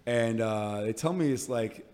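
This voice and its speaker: Casting: American male podcast host, middle-pitched, loose and informal, quick to tease and banter with a guest. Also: darkish tone. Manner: playful, teasing, informal. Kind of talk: casual, bantering interview chat.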